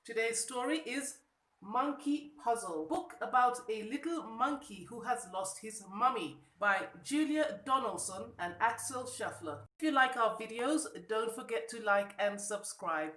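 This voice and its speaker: A woman hosting and reading stories aloud for children in a deliberate, child-directed way. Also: on the high side, lively intonation, a bit bright, reverberant. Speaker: children's storyteller and channel host. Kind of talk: children's read-aloud storytelling.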